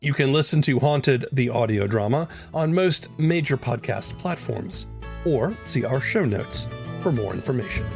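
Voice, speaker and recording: Male podcast host, medium pitch, music behind. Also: lively intonation, moderate pace, vocal fry. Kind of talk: scripted announcing.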